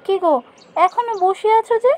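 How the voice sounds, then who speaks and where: A woman acts as a caring village wife in a cartoon. Her voice is very high. She talks in a coaxing, slightly plaintive manner, like a sensible partner. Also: normal speed, darkish tone. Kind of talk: cartoon voice acting.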